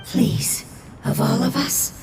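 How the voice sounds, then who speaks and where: A woman voicing a gentle, wise young deity in a video game, soft, soothing and earnest. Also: takes it slowly, natural voice. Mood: tender, consoling. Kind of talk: dramatic game voice acting. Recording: echoey, slightly distant, with loud music.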